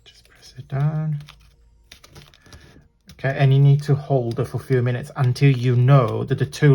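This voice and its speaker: A man with a croaky voice hosting a casual craft tutorial, chatting and apologizing as he works with his hands at a desk. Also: medium pitch, dark tone.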